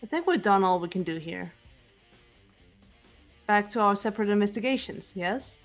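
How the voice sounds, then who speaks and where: Cool analytical teen girl sleuth, woman voicing, calm, measured, mid pitch. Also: soft background music, natural voice. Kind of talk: amateur voiced game playthrough.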